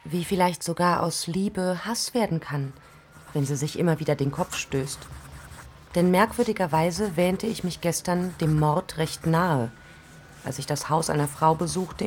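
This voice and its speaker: Actress as low-voiced, obsessive woman diarist. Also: a little mellow, slight background noise, close to an everyday voice. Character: woman novelist diarist, obsessive, coolly menacing, longing. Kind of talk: radio drama diary monologue.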